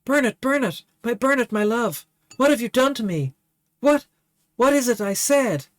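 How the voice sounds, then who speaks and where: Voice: mid-range voice, lively intonation, moderate pace, dry recording, pitched above the performer's own voice, natural voice. A reader voicing Favia, a young woman lover dying in a stage tragedy, with melodramatic pleading. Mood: anguished.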